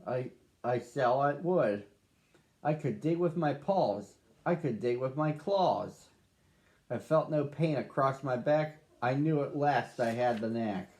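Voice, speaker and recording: A man reading a rhyming picture book aloud to children, with a slow, sing-song rhythm. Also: medium pitch, animated, darkish tone. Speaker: storybook reader.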